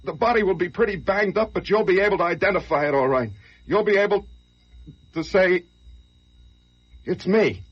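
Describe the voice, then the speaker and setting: An actor playing a desperate, brooding fugitive killer in an old-time radio crime drama, given to overwrought outbursts. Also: male voice, high-pitched, normal speed, pauses often, husky. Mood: urgent, insistent. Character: desperate fugitive man who killed a truck driver. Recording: dull, noisy old radio recording.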